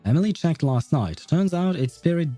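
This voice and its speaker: A man's voice: on the high side, animated, normal speed, music in the background. A man livestreaming a video game, chatting casually and in a friendly, rambling way as he comments and reads dialogue aloud. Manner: chuckles often, rambling and friendly.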